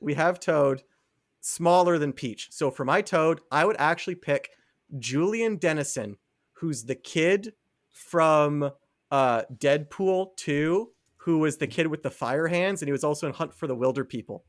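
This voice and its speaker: A man's voice: a little high, a little slow. Rambling young man on a podcast. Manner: rambling, laughs at his own ideas. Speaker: podcast co-host. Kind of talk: casual chat.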